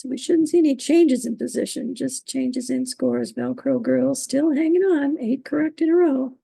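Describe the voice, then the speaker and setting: A woman moderating an online trivia quiz, reading out questions and standings, who is losing her voice and keeps clearing her throat. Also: high voice, very animated, warm tone, no echo. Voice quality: gravelly, husky. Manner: upbeat, reading standings, halting on invented words.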